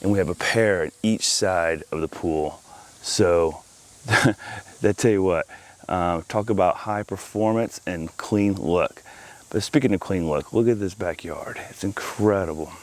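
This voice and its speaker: Enthusiastic low-voiced man giving a tour. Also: a little slow, no room sound. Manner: enthusiastic, chuckling, salesy. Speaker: home audio installer giving a project tour. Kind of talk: casual walk-through video tour. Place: outdoors in a backyard by a pool.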